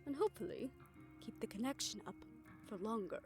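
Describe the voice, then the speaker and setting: Audio roleplay part: actress playing serene, forgiving female survivor, fairly high soft voice, measured and reassuring, light music. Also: expressive, close to an everyday voice. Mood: gently eager. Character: gentle, forgiving woman, once experimented on, now at peace.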